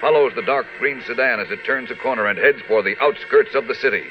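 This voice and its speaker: Casting: brisk, suspenseful male announcer for a 1940s radio serial, formal delivery over loud organ. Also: medium pitch, dull audio. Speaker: narrator. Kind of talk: dramatic 1940s radio narration. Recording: vintage radio recording. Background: loud organ music.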